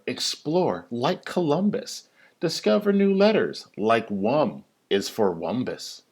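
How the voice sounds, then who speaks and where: A man who works as a teacher reads a children's story in rhyming verse, with an expressive, sing-song delivery that stresses key words. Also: medium pitch, creaky. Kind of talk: animated storybook read-aloud of rhyming verse.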